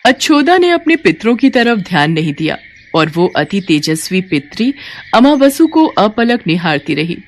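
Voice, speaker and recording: Animated woman narrating devotional tales. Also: mid-range voice, moderate pace. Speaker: storyteller narrator. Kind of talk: scripted devotional story narration.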